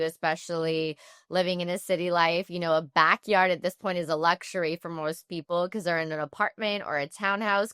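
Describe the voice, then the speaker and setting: A woman's voice: lowish voice, fairly bright tone, clear, resonant tone, no echo, clean studio sound. A woman hosting a podcast interview, upbeat and chatty, with lots of "kind of" and "sort of" fillers. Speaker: podcast host.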